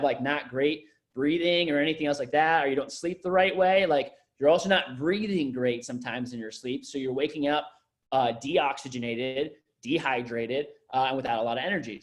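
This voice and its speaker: A young man giving casual wellness tips to a group, rambling with many fillers and bursts of emphasis. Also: medium pitch. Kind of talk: casual motivational talk.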